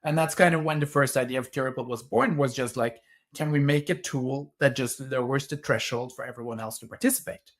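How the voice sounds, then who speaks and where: A man with a Norwegian accent speaks English as a second language. He tells his story eagerly as an interview guest, with a few stammered restarts. His pitch sits in the middle range. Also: fairly quick. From Norway. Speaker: co-founder guest. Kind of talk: conversational interview answers.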